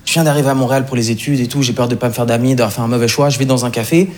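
A young Swiss man doing a stand-up set, animated and acting out the voices he quotes. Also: medium pitch, very fast, a little hiss or hum. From Switzerland. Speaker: stand-up comedian. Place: comedy club stage.

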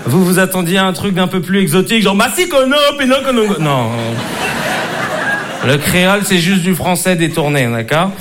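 A young man doing an animated, mocking stand-up routine. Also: sits high, moderate pace. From France. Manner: animated, mocking, does voices. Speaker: stand-up comedian. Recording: live club with audience noise. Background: crowd laughter.

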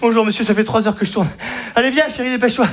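A man performing a quick, mocking stand-up set in a comedy club, slangy and acting out characters. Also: very high-pitched, steady tone. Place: comedy club stage with audience. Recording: live club with loud crowd laughter.

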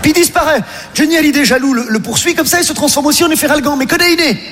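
Humoriste homme à voix très aiguë, hésitant, en salle réverbérante avec bruit de fond. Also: male voice, very high voice, a bit bright, slight background noise. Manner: hesitant, halting with many fillers. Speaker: stand-up comedian. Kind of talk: absurd stand-up monologue. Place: stage before a live audience. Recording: live hall recording aired on radio.

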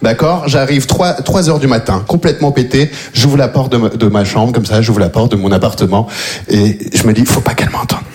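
A man's voice: medium pitch, fairly quick, a little mellow. A man from Belgium, performing a stand-up routine and teasing the audience in a conversational way. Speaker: stand-up comedian. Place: comedy stage before a live audience.

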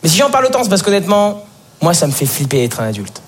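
A man's voice: sits high, very animated, rapid-fire. A nineteen-year-old man from France doing a casual, slangy stand-up monologue, talking straight to the audience. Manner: conversational, slangy, addresses the audience. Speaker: stand-up comedian. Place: live comedy show before an audience. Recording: noisy live stage recording.

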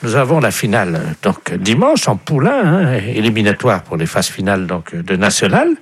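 A man's voice: on the low side, sing-song, moderate pace, slightly rough, dry recording. A male radio prankster who makes a prank phone call, speaking in a mock-official tone with earnest absurdity.

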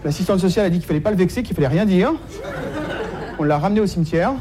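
High-pitched, fast-talking male stand-up comedian. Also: crowd noise. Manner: slangy, streetwise, mock-naive storytelling.

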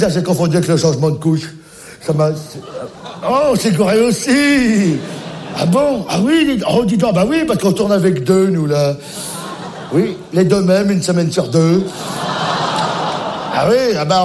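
A man voices a forgetful grandpa phoning his daughter about the grandson he is minding, with a high voice. He rambles, loses his thread and repeats the same questions over and over, unbothered. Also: background noise. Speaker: comic sketch character. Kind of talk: comic one-sided phone call sketch.

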